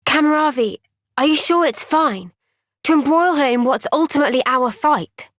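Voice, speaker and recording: A woman voicing an earnest, kind young woman soldier in a video game, speaking gently and sincerely in a thoughtful, resolute way. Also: sits high, normal speed, dry recording, studio quality. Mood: calm, gentle. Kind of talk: video game cutscene voice acting.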